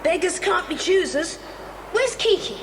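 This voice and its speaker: A very high, brisk young boy adventurer, acted by a woman, plucky and practical, giving orders in exclamations. It is a children's cassette adventure drama, ringing with long reverb over clear background noise. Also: expressive, exaggerated voice. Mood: relieved, practical.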